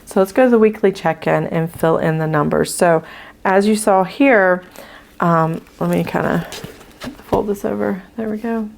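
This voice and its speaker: A woman with a hoarse voice, casually walking viewers through her budget sheets as a channel host, with frequent ums as she writes. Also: mid-range voice, moderate pace, darkish tone, a little hiss or hum. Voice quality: gravelly. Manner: chatty with frequent ums, talking while writing.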